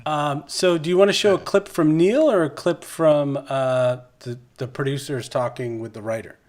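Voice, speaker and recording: A man's voice: fairly high pitch, normal speed, a little mellow. A man hosting a podcast in a casual, conversational interview style. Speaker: podcast host.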